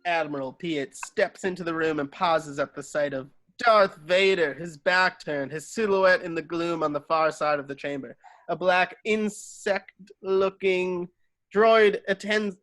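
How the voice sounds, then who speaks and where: Male narrator for a script read-through, slightly high voice, reading scene directions. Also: a bit bright.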